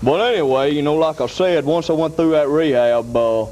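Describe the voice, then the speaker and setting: A man's voice: a little high, moderate pace, warm tone. A man giving a rambling comic testimonial in a folksy, repetitive, emphatic way.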